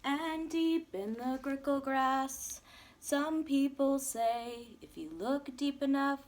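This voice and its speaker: A woman's voice: high voice, takes it slowly. A woman teacher reading a rhyming children's storybook aloud with plenty of expression.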